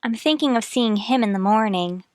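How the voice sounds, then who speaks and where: An inquisitive, persistent wife turned amateur sleuth played by a woman in a radio mystery. Her voice sits a little high and is recorded dry and close. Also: moderate pace, a little mellow, no echo, natural voice. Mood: preoccupied. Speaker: actor. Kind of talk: radio mystery drama acting.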